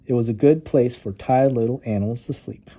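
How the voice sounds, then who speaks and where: A man narrating a children's storybook with expressive, dramatic emphasis on key words. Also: medium pitch, brisk, slight background noise. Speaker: storytime reader.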